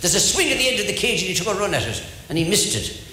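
A man's voice: high-pitched, big pitch swings, quick pace, bright, forceful tone. A man from Ireland with an Irish accent telling stand-up jokes as a storyteller, repeating phrases for effect. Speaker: stand-up comedian. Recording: echoey hall, faint background noise.

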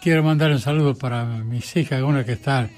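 A man's voice: mid-range voice, a little fast, darkish tone, soft background music. An older Argentine man visiting the radio studio, hesitantly sending greetings to his family. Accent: Argentine Spanish. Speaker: studio guest.

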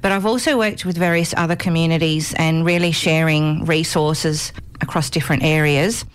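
A woman with a slightly low voice answers questions in a radio studio. She speaks earnestly and at a measured pace, often pausing on an um. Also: fairly even intonation, clean tone. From Australia. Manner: earnest, measured, frequent ums. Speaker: guest, commission chair. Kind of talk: radio interview.

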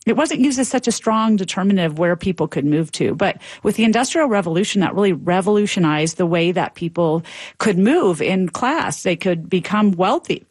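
A woman's voice: on the low side, animated, moderate pace, darkish tone, no echo. Woman professor explaining, dry close sound. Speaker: professor, expert guest. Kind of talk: explanatory interview talk.

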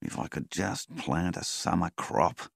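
A man voicing a gruff, hungover middle-aged bush farmer who talks bluntly and coaxes his dog. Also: deep voice, very animated, a little slow, no echo, clean studio sound, voice pushed up, full character voice. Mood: angry.

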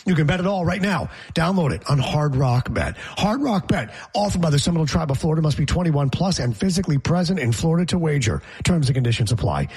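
A man reading a betting ad with an upbeat pitch, then rattling off the legal disclaimer. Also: darkish tone, slightly rough. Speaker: ad announcer. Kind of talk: scripted ad read.

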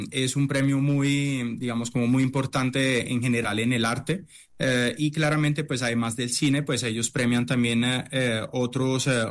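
A middle-aged man from Italy talks through his answers in a halting, searching way. He pauses often on fillers and restarts his sentences, with a voice of ordinary pitch for a man. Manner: hesitant, many fillers and restarts. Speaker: chef and restaurant owner. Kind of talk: interview answers.

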